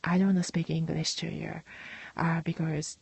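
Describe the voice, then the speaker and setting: Brief: woman, husky gravelly low voice, soft halting livestream talk, dry close sound. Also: level tone, no echo. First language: Japanese. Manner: soft, often half-whispered, halting with many fillers. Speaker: livestream host. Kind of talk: casual livestream monologue.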